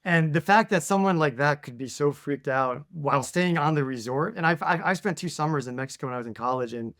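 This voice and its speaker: A chatty man co-hosts a podcast, rattling off stories and questions at a quick clip. His voice is a little higher than most men's, and the sound is dry and close to the mic. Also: a bit bright, no echo. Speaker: podcast co-host. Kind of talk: casual interview, telling anecdotes.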